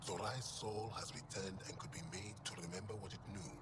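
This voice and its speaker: An actor playing a solemn, resigned alien mystic in a video game, grave, measured and cryptic. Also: male voice, fairly low pitch, steady tone, heavy background noise, close to an everyday voice. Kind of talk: video game cutscene acting.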